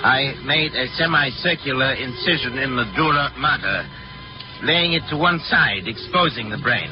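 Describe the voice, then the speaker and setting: An actor playing a grave, guilt-ridden scientist and surgeon in a 1940s radio drama, with formal, theatrical diction. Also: male voice, medium pitch, normal speed, natural voice. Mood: clinical, driven. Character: guilt-ridden male scientist, obsessed surgeon and father, fatalistic. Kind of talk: 1940s radio drama acting, first-person narration. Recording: dull 1940s radio broadcast, music behind. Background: dramatic music.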